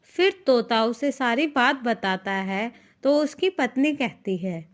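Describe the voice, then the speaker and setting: A woman's voice: a little high, expressive, slow pace. A woman narrating a scripted moral tale for a cartoon as the storyteller.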